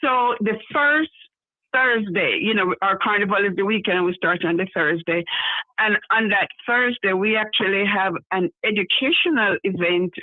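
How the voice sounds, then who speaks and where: A woman's voice: medium pitch, moderate pace, no echo. Jamaican woman on a tinny phone line. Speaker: carnival organizer guest. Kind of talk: casual podcast chat. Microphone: phone call.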